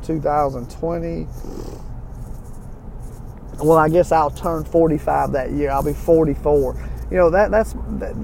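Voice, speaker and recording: A man's voice: fairly high pitch, gravelly, a little mellow, slight background noise. A man in his forties giving an earnest, folksy motivational talk to his viewers, repeating himself and restarting phrases. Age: 40s. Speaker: motivational vlogger. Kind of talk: casual motivational talk to camera.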